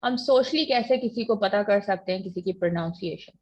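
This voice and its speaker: A woman teaching an online class, explaining points in a mix of Hindi and English and reading definitions slowly off a slide. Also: medium pitch, darkish tone. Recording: dull lo-fi online class audio.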